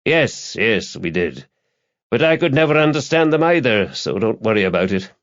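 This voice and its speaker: A man's voice: fairly low pitch, big pitch swings, moderate pace, a bit bright, muffled recording. A man voicing a middle-aged police detective father in an audiobook, guarded but reassuring, with terse fatherly replies. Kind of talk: audiobook character dialogue.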